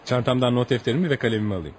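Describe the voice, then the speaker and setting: An actor in a Turkish radio play as a courteous, patient American newspaper journalist, speaking in a reassuring manner. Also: male voice, mid-range voice, very fast, a little mellow, natural voice. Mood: courteous, businesslike. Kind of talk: Turkish radio theatre acting. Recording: noisy radio play recording.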